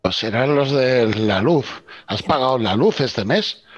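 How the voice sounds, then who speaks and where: Male player, husky voice, casual in-character role-play, dry and slightly muffled recording. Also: mid-range voice, expressive, unhurried, dry recording. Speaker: tabletop role-playing player.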